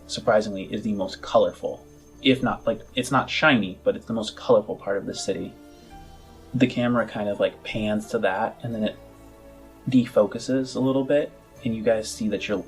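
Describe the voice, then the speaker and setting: A man narrating a tabletop game as game master, casual and halting as he searches for words. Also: on the low side.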